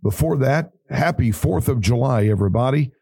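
A man who pastors and hosts a podcast, talking earnestly in a folksy preaching style, his voice raspy and hoarse. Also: medium pitch, animated, normal speed, a little mellow, dry recording. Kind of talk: casual preaching podcast talk.

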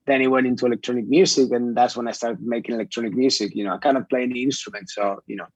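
A man's voice: mid-range voice, steady tone, rapid-fire, husky, no room sound, highs cut off. A man, a guest chatting loosely with lots of "you know" fillers. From Spain. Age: 40s. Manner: rambling, many "you know" fillers, easy laughs. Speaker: guest, club music director. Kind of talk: casual interview chat.

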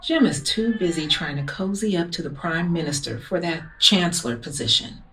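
An actress playing a flirtatious, scheming married woman of wealth in an audio drama, with a husky, breathy voice and coaxing manner. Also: fairly low pitch, moderate pace, a bit bright, a little hiss or hum, natural voice, poor audio. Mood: scheming, defensive. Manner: seductive, coaxing. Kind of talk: modern audio drama acting.

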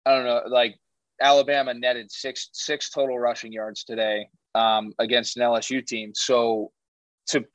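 A man co-hosting a sports podcast, chatting casually with slang and quick interjections. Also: mid-range voice, level tone, a bit bright, no room sound. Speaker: podcast co-host. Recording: dry, dull lo-fi sound.

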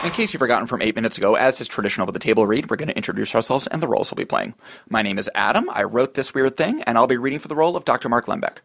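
A man's voice: medium pitch, expressive, very fast, gravelly, a bit bright. A man chatting casually as co-host and writer, introducing a script he will read.

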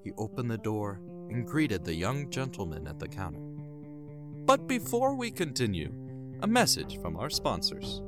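A man's voice: on the low side, huge pitch swings, moderate pace. A man delivering dramatic scripted narration as the narrator of a story. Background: music.

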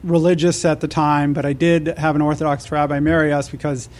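American man with an even, steady delivery. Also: fairly high pitch, fairly quick. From United States (New Mexico and Nevada). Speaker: podcast guest. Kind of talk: conversational personal storytelling.

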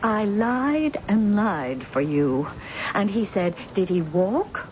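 A woman's voice: medium pitch, big pitch swings, takes it slowly, a little mellow. An actress playing an anxious, submissive wife covering for her husband, with a fretful manner, in a 1970s radio drama. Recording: dull, slightly noisy old radio broadcast recording.

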